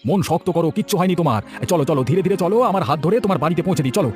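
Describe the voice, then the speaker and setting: Cartoon village good neighbour male actor: frank, reassuring, extremely quick talker, soft music behind. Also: fairly high pitch, animated, lightly acted. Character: helpful, frank village man, kindly scolding. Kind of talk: Bengali cartoon story voice acting.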